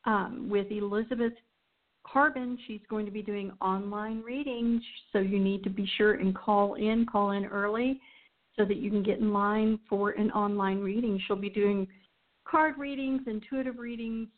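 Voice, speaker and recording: A woman host chats informally at a medium pitch, wandering from point to point without a script. Her voice comes through a thin telephone-style line. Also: fairly even intonation, normal speed, phone-quality sound. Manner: informal, rambling, chatty. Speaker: radio show host. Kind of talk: casual unscripted show sign-off.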